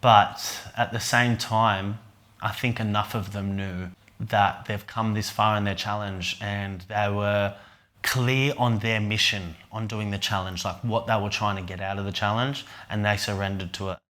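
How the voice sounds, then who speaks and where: A man's voice: fairly low pitch, fairly even intonation, a little slow. A man, an event organiser and men's coach, talking earnestly and reflectively in a documentary interview. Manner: soft-spoken, earnest, with fillers.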